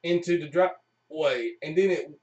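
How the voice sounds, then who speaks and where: A man's voice: on the high side, normal speed, darkish tone, recorded from a distance, lo-fi sound. A man hosting a live stream with casual, jokey banter and storytelling.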